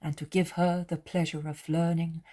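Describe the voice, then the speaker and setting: A woman voicing Jesus as a calm, solemn, loving master, with measured and gently authoritative speech. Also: low voice, close to an everyday voice. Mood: reassuring, solemn.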